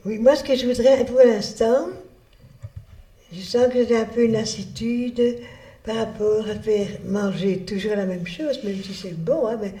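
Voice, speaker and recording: A woman's voice: fairly high pitch, a little slow, mellow, echoey room. An older woman introducing herself and speaking candidly, in a conversational way. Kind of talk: self-introduction.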